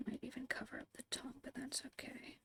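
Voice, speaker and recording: Woman, soft whisper with creaky edges, unhurried murmured musing, ASMR art host, close microphone. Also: sits high, steady tone. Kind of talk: whispered ASMR narration of digital painting.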